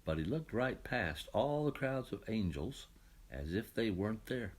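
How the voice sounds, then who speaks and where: Male children's story narrator: lowish, animated intonation, expressive scripted storytelling. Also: measured pace, slightly rough. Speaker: audiobook reader.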